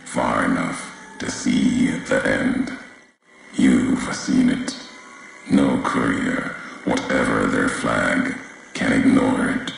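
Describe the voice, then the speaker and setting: A male actor playing a brooding, embittered courier and former soldier in a video game, speaking solemnly and cryptically in weighty, deliberate phrases with heavy pauses. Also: a bit bright, heavily acted. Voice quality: very deep, gravelly. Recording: roomy echo, faint background noise.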